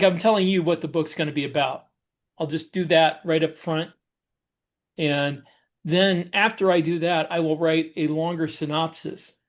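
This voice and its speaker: Man, somewhat high voice, podcast co-host, folksy, deliberate, conversational explanations. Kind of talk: casual explanatory chat.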